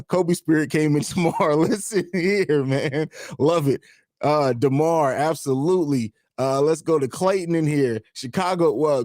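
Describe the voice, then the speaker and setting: A hoarse man with a raspy voice hosting a live fan stream, talking emphatically and repeating himself, with casual swearing. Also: a little high, darkish tone, no echo, clean studio sound. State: hoarse from screaming. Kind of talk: excited sports fan stream chat.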